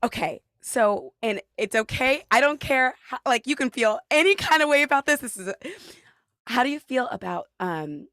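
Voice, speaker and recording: A woman hosting an interview show, reacting with loud, expressive exclamations. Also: a little high, moderate pace, dry recording, clean studio sound. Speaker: show host. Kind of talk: casual interview chat.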